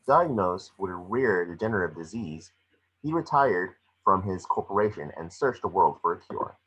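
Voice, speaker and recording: A man's voice: low-pitched, lively intonation, normal speed, fairly bright tone, slightly rough, full character voice, poor audio. A man reading the part of a grand corporate documentary narrator in an amateur table read. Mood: grand, informative. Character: corporate narrator of an informational city welcome video.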